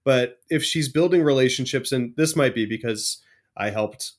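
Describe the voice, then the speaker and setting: A man's voice: mid-range voice, normal speed. A man on a discussion panel, talking through clinical ideas casually and in technical terms. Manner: explanatory, uses technical jargon.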